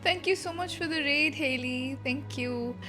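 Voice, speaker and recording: A woman's voice: sits high, moderate pace, sharp tone. A young woman chatting casually on a livestream as she reacts to a game, gushing and emotional in an informal way. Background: faint game music.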